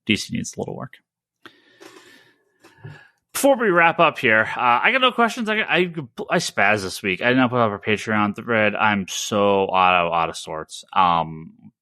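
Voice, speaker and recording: Bright-voiced, low-pitched man, podcast host. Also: big pitch swings, a little slow, no room sound, studio quality. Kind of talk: casual comics news discussion.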